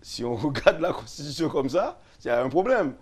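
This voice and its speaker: A man, a lawyer and radio guest, explaining constitutional articles slowly, repeating and restarting phrases. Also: fairly high pitch, sing-song, breathy edge. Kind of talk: explaining constitutional law on a radio talk show.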